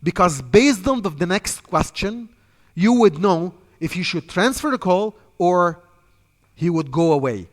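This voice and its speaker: Egyptian guy coaching sales reps, kinda high voice, repeats himself a lot, big echoey room. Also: animated, unhurried. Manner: repetitive, emphatic coaching, talks off the cuff. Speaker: sales trainer. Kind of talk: instructional talk to trainees. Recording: long hall reverb.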